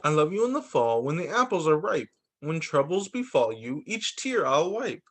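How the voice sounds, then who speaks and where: A man who teaches young children, reading picture books aloud in an expressive, sing-song storytime delivery. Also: on the high side, moderate pace. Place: remote video call story session.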